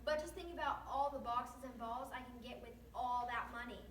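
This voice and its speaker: A woman's voice: on the high side, brisk, pitched below the performer's own voice, lightly acted. A woman voicing an eager, boastful little female wooden toy person in a sing-song readers theater style. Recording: echoey room, distant mic, noisy background.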